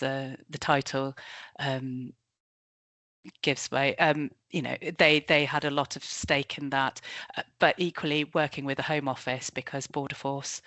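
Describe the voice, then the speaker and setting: A presenter introduces a career session in a halting, conversational manner, circling back and restarting phrases. The voice is bright and clear. The sound is dry and close. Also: mid-range voice, dry recording. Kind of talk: webinar presentation.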